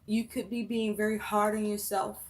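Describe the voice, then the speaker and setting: A woman giving a tarot reading for her viewers, listing phrase after phrase in a slow, measured way. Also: medium pitch, flat delivery. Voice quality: voice rough and breaking, coughing. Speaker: tarot reader.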